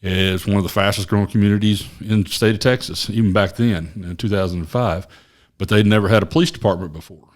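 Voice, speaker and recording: A man from Oklahoma with a very low voice tells his story as a podcast guest. He talks in a halting, rambling way, full of fillers and restarts. The talk is recorded in a small office studio. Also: steady tone. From Oklahoma, USA. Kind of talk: casual interview storytelling.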